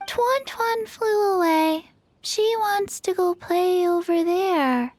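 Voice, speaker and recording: Very slow, tiny-voiced little girl. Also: very high voice, noisy background. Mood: dreamy. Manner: slow, simple short sentences. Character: small dreamy little girl, gentle and simple. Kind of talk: animated game dialogue.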